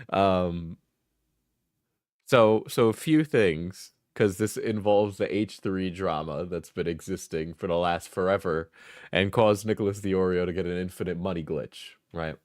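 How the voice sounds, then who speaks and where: A man's voice: deep voice, a little slow, no echo, clean studio sound. A sleepy man livestreaming, chatting casually and rambling, laughing and swearing often. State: sleepy, just out of bed.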